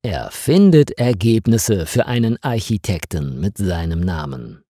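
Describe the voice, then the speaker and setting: Male narrator, scripted learner story, unhurried, expressive, soft dark tone, dry close sound. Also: deep voice, slightly rough, dry recording.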